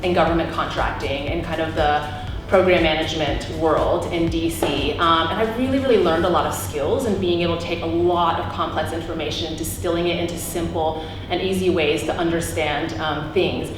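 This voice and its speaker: A woman's voice: deep voice, normal speed. A woman in her thirties, a professional coach, telling her story in an interview with many ums. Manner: warm, earnest storytelling with many fillers. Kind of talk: interview answers. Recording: echoey room with noise.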